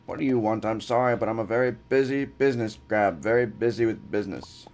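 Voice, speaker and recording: A man's voice: fairly low pitch, fairly quick, darkish tone, lightly acted. A man doing a brusque busy business crab. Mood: impatient. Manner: brusque and impatient.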